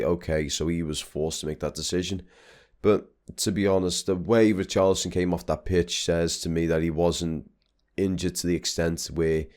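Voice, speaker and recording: A young man, talking casually and rambling a bit as he vlogs over drone footage. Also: low voice. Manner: chatty, rambling with restarts. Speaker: vlogger.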